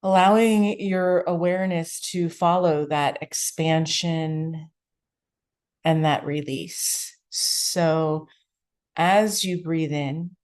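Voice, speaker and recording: A woman with a low voice leads a guided meditation as its teacher. She speaks very slowly, offering gentle instructions in short phrases. Long, unhurried pauses separate one phrase from the next. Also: fairly even intonation.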